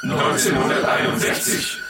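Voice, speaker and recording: A man performing a declamatory announcer in a radio play, speaking slowly and emphatically. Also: lightly acted. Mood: declamatory, upbeat. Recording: very echoey, distant, loud music behind.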